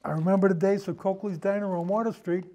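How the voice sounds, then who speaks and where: A man's voice: high-pitched, fairly even intonation, fast talker, mellow. An older man in casual, reminiscing conversation, hesitant and prone to wandering off on digressions. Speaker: guest, amateur historian and old time radio podcaster.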